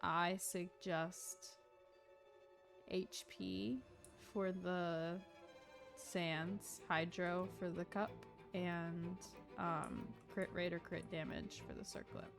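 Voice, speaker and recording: A woman's voice: on the low side, sing-song, very slow, gravelly. A woman livestreaming a video game, chatting casually in a rambling, easygoing way about play. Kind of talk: casual chat, gameplay commentary. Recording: game music behind. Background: video game music and character voices.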